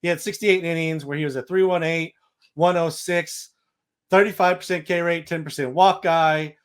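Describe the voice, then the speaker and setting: A man's voice: on the high side, fairly even intonation, moderate pace, smooth. A man hosting a sports podcast, giving casual, matter-of-fact baseball analysis.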